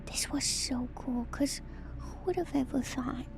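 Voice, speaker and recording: A woman whispers slowly in a soft, breathy voice that sits a little high. She delivers casual ASMR commentary in a musing, hesitant way, letting phrases trail. Music plays clearly behind her. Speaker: streamer hosting an ASMR lore stream.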